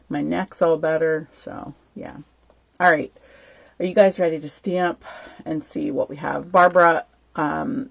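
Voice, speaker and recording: A chatty woman hosts a live craft class at home, greeting viewers by name between plenty of ums. Her voice is gravelly and somewhat worn, since she says she is losing it, and the stream audio sounds dull and lo-fi. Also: deep voice, no room sound. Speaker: host of a live stamping class. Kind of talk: casual live-stream chat and craft demo.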